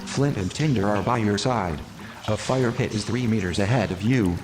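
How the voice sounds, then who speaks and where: Low, reverberant, matter-of-fact computer voice played by a man. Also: lightly acted. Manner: measured, formal, matter-of-fact delivery. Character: calm, literal male station computer companion, helpfully blunt. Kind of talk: sci-fi audio drama acting. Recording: long reverb, music behind the voice. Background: music.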